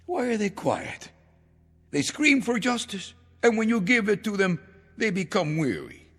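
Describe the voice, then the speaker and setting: An actor playing a paranoid, grandiose revolutionary leader in a mid-century television drama. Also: male voice, high voice, sing-song, measured pace, a bit bright, husky, exaggerated voice. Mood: scornful. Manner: grandiose, theatrical, commanding. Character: paranoid, imperious male revolutionary leader turned ruler. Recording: old TV soundtrack, reverberant, music behind.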